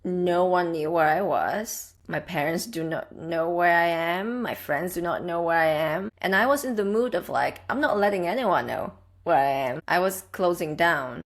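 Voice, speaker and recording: A woman's voice: on the low side, normal speed, few pauses. A young adult woman from the Czech Republic, a guest telling a personal story in an earnest, reflective, conversational way.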